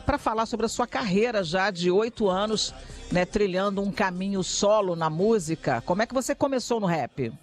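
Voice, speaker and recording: High-voiced male interviewer. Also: expressive, normal speed, faint music behind. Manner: engaged, affirming follow-ups. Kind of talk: interview questions.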